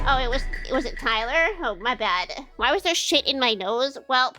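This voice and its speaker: A woman's voice: fairly high pitch, bright, forceful tone, rough recording. A woman streaming live, chatting casually and joking crudely, her voice nasal and stuffed up from a sinus infection. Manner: playful, giggly, crude jokes, many fillers. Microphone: streaming microphone.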